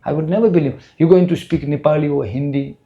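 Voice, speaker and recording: A man's voice: on the high side, quick pace, muffled recording. A man in his sixties from Russia, speaking English with a Russian accent, giving an earnest spiritual lecture to a temple audience. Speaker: spiritual teacher.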